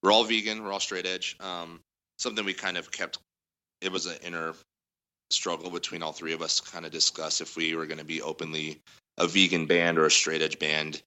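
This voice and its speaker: A man with a loud voice, a guest musician in a casual interview, telling a long story with lots of fillers. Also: low voice, flat delivery, brisk. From Denver area. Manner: rambling storytelling, heavy fillers.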